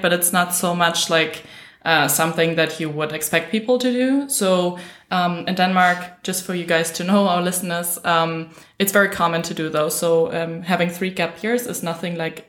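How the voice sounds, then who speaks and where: A woman's voice: fairly low pitch, fairly even intonation, a little fast, hardly any pauses, a bit bright. A woman hosting a podcast, chatting casually as an interviewer and affirming what is said. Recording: roomy echo, faint music.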